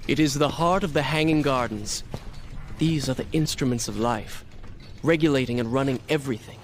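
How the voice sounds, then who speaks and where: Noisy game audio carries a man playing a proud young prince. He speaks at a medium pitch with formal, measured phrasing. He is earnest and weary, weighed down by guilt. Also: close to an everyday voice. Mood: dry, reserved. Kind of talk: video game cutscene acting.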